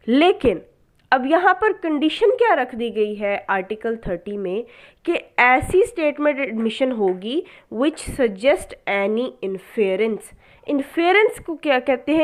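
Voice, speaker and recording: A woman with a Pakistani accent giving an explanatory lecture, mixing in English terms, in a didactic way with questions she answers herself. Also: high-pitched. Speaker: law lecturer.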